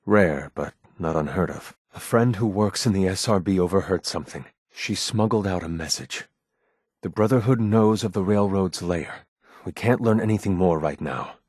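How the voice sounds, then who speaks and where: Deep, husky android rebel leader. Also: male voice, a little mellow, no echo, clean studio sound. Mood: urgent, secretive. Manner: formal, measured, grave. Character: guarded, earnest male android rebel leader. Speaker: video game voice actor. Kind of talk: scripted game character dialogue.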